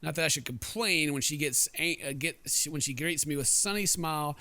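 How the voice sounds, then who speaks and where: Bright, forceful gamer guy. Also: a little high, a little fast, dry recording. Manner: jokey, rambling. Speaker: let's play streamer. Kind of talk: casual gameplay commentary with read-aloud narration.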